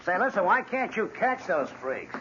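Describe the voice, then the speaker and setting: An actor playing a brash, impatient husband, blunt, scornful and domineering, in an old-time radio drama. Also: male voice, high-pitched, very animated, normal speed. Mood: gruff, scornful. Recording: muffled, hissy vintage radio recording.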